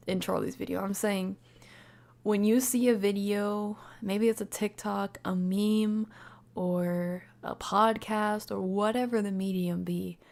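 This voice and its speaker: Casual woman streamer with creaky voice. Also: on the high side, darkish tone. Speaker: streamer, host. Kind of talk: casual livestream reaction commentary, reading chat.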